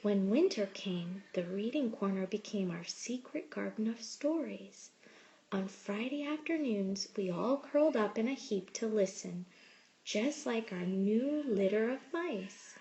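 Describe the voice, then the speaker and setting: A woman reading a picture book aloud like a teacher, with expressive, measured storytelling. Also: mid-range voice, low-quality recording. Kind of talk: storybook read-aloud narration.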